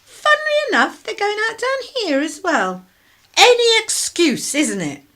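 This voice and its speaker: An actress playing a weary, sarcastic 1950s British working-class wife in a radio drama, with a clipped, irritable manner. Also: female voice, extremely high pitch, huge pitch swings, measured pace, a bit bright, heavily acted. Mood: exasperated.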